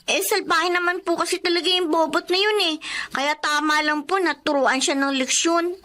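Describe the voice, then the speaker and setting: A very high-voiced young girl. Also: fairly even intonation, moderate pace, bright, forceful tone. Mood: respectful, earnest. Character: young girl, loyal, outspoken, respectful younger sister. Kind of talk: Filipino radio drama acting.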